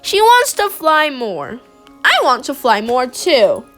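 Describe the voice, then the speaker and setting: Slow, expressive woman reading a picture book. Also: high-pitched, over music. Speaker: storybook reader. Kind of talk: read-aloud picture book narration.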